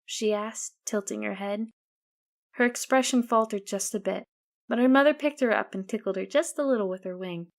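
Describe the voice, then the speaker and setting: A woman's voice: mid-range voice, normal speed, gravelly. A woman narrating a first-person story as an audiobook reader.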